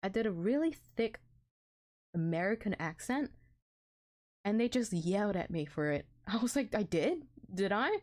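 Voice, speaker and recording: A woman's voice: medium pitch, expressive, normal speed, darkish tone. An Australian woman chatting casually while streaming a game from home, giggly and self-deprecating, often tripping over her words. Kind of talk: casual let's-play commentary. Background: faint background music.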